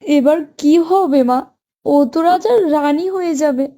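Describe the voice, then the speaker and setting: A woman's voice: sits high, unhurried, mellow. A woman voicing a spiteful, spoiled stepsister girl in a cartoon story, whining and wailing. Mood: worried.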